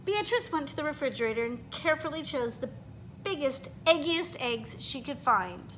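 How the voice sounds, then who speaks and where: A woman reading a children's picture book aloud in an expressive, animated way, pausing to put questions to her listeners. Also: high voice, slight background noise, poor audio. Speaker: read-aloud storyteller.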